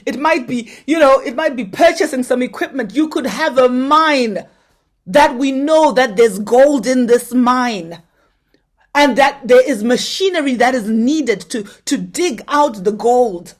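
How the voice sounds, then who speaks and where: A woman's voice: high-pitched, unhurried. A woman giving a motivational conference address, earnestly urging the audience to act and posing direct questions to them. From Zimbabwe. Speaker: conference opening speaker.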